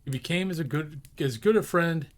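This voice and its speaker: A man reading a classic story aloud as narrator, in an expressive storybook style. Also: a little high, brisk.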